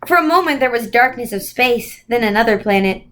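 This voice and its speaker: A woman reads a children's story aloud as narrator. Her voice is high, and she tells it expressively for young listeners. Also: lively intonation, brisk, fairly bright tone, slight background noise. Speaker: story reader.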